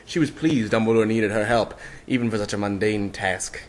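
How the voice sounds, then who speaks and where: A man's voice: on the low side, steady tone, normal speed. A man narrating fan fiction as a mock-dramatic reading. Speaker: narrator, reader.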